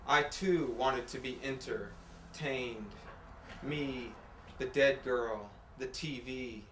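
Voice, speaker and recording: A man reading poetry aloud in a measured, deliberate way, with pauses between short phrases. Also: medium pitch, bright, forceful tone. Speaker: poet reading own work. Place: apartment room at a live reading. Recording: echoey room, faint background noise.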